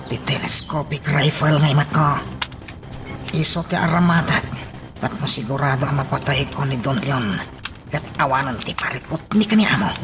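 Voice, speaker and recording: An actor playing a determined, furtive hired gunman muttering his plans to himself in a radio drama monologue. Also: fairly high pitch. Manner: dramatic self-talk, muttered exclamations. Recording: clear noisy ambience behind the voice.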